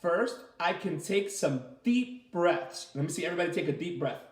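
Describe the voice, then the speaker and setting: High-voiced man reading to kids, echoey room. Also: moderate pace. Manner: animated, asks and answers simple questions. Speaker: martial arts teacher reading a storybook to children. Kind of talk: children's story time with questions to listeners. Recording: roomy, echoey sound.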